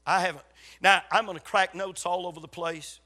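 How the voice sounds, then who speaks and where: An older male preacher with a Southern US accent delivers a sermon in a high, forceful voice. He is getting over a cold, which leaves a slight rasp. His storytelling is folksy and emphatic, with weighty pauses. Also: steady tone. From South Carolina, USA. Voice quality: slightly raspy. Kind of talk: sermon to a congregation.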